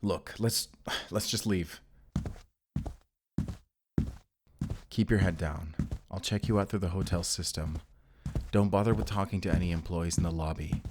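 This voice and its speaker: A man's voice: deep voice, steady tone, normal speed, noisy background, close to an everyday voice. A man playing a guarded, protective vampire slayer in an audio roleplay, speaking frankly and sternly. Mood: flustered, brisk. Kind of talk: cinematic audio roleplay addressed to the listener.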